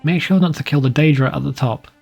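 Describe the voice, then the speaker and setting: A man's voice: fairly high pitch, quick pace, vocal fry, darkish tone, soft background music. A man reading a scripted video game walkthrough in a plain, informal, instructional way. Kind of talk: scripted guide narration.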